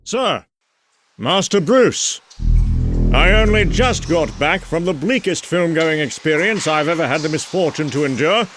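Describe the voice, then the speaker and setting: An actor playing a proper older butler with a formal, wordy, dryly put-upon manner in a comic-book drama. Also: male voice, fairly high pitch, lively intonation, unhurried, music in the background. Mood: alarmed, dry.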